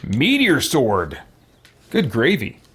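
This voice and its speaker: A man hosts a casual video game playthrough in a lively, varied voice at a middling pitch. He thinks aloud as he goes, dropping muttered asides and small exclamations. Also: slow, husky. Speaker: gaming video host. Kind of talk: casual let's play commentary.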